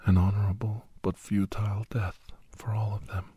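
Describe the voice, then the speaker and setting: A weary, wry doctor acted by a man recounts his adventures in an ASMR story. His voice is very husky and breathy, often sinking into a whisper, low and soft-edged. The delivery is deadpan and intimate, recorded close and dry. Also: moderate pace, no echo. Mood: somber, reflective. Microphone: close, dry microphone.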